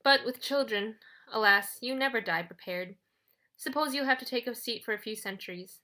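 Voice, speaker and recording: A woman narrator plays a sinister, elegant male ferryman of the dead from a storybook. He speaks with a British accent in a smooth drawl. His manner is condescending, as if every customer were beneath him. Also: fairly high pitch, normal speed, pushed and bright. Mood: dryly amused.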